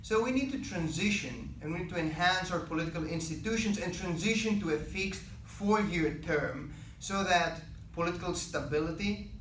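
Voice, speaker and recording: A young man from Greece, giving a prepared public speech to classmates in an earnest, measured way with emphatic key phrases. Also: high voice, fairly bright tone. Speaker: student speaker. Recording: echoey room, speaker far from mic, faint background noise.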